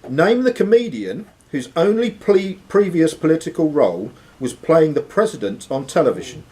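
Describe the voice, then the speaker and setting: A man's voice: a little high, sing-song, moderate pace, a little mellow. A man reading quiz questions aloud as host of a live quiz. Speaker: quizmaster.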